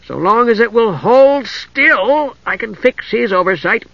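A man's voice: sits high, expressive, fairly quick, vocal fry, husky, no echo, muffled recording, voice pushed up, heavily acted. A man voicing a cheerful, fearless little Kender adventurer in a storybook, chattering eagerly and rambling on. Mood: breezy.

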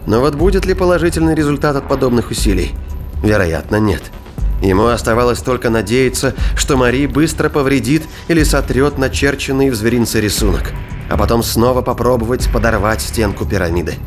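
Male audio drama narrator over soft music. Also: medium pitch, fairly quick. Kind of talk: scripted narration of a science-fiction thriller audio drama.

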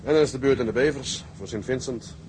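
An actor playing a hearty, seasoned tugboat captain in a Dutch period drama, with a brisk manner. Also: male voice, medium pitch, noisy background, close to an everyday voice. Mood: caring.

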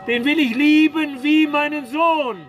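A solemn lord of a castle in a radio drama. He proclaims slowly in a very high voice, commanding yet fatherly, weighing each phrase. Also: steady tone, over loud music. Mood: solemn proclamation. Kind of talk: German radio drama acting.

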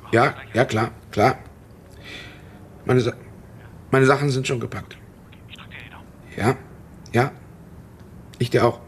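An actor playing a nervous, confused man caught up in a police trap, halting and repeating his words, in a radio crime drama. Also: mid-range voice, slightly rough.

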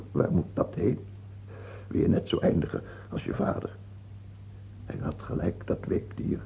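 An actor playing a sick, paranoid, self-pitying old king in a radio drama, with a husky, gravelly voice and a theatrical, halting delivery. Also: fairly low pitch, exaggerated voice. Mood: guilty, brooding. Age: older. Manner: theatrical, halting, swinging between whining and outbursts. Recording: dull, muffled old radio recording.

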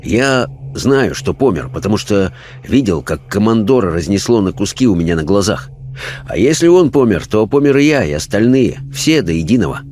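A man voicing a rough, irreverent dead sailor in an audiobook, with coarse, colloquial speech. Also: fairly low pitch, very animated, moderate pace, a little mellow, slight background noise. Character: rough, cheeky, slangy dead sailor, male.

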